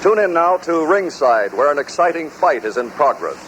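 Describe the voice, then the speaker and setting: A man's voice: a little high, sing-song, moderate pace, fairly bright tone. A man hosting a radio record with scripted vintage narration, on an old recording. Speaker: host of a radio bloopers record. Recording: old, noisy archive recording.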